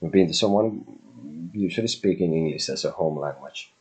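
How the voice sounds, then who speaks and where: Low, husky Finnish-accented man. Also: expressive, fairly quick, a little mellow, low-quality recording. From Finland. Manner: loose and chatty, with restarts and mild swearing. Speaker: tarot reader hosting a live stream. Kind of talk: casual rambling monologue.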